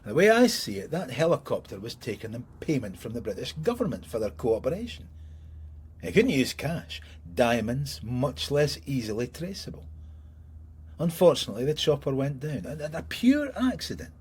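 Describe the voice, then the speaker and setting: An actor playing a sardonic middle-aged police detective in a radio crime drama, needling and teasing with dry sarcasm in a husky voice. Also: male voice, medium pitch, sing-song, moderate pace, a little mellow. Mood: probing.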